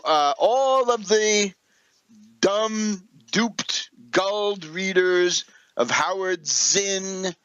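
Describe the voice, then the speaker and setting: A man giving extemporaneous political and historical commentary as a radio host, deliberate and emphatic, with professorial asides and sardonic phrasing. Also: a little high, fairly bright tone, no echo, rough recording.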